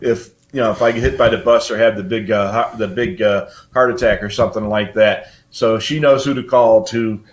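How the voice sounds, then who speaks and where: A man's voice: fairly low pitch, fairly even intonation, brisk, few pauses. An older man hosting a casual live hobby show, explaining things in a rambling, matter-of-fact way.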